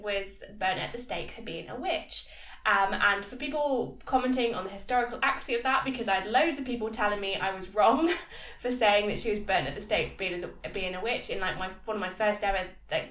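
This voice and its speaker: A woman's voice: medium pitch, a bit bright. A woman with a slightly nasal voice from a cold, chattily giving a casual episode review as a video host. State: has a cold. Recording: echoey room, distant microphone.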